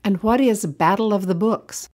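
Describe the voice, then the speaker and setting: A woman's voice: medium pitch, moderate pace, a little mellow. A woman interviewer asking short, plain questions.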